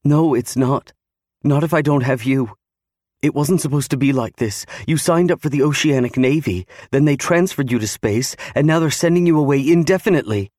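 Male reader as young wife, distraught, pleading, raised pitch, audiobook character, dry sound. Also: normal speed, a little mellow, no room sound, natural voice. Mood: upset, accusing. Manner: pleading, protesting. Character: distraught pleading 29-year-old wife, afraid of losing her husband.

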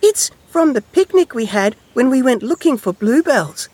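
Little cheerful mouse, extremely high squeak, childlike, sweet, animated storybook delivery. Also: male voice, normal speed, slightly rough, dry recording, heavily acted. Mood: eager.

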